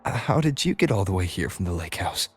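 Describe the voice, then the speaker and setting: A man playing a calm, resolute young hero in a game, with an understated way of talking. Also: low-pitched, fast talker, a little hiss or hum. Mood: gentle. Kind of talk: scripted game dialogue.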